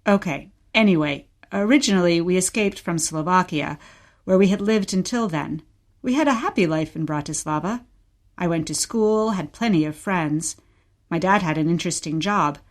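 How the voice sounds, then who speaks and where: A woman acting a gentle, patient mother who tells stories of her childhood in a scripted radio play. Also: lowish voice, natural voice. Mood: reflective. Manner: patient, storytelling.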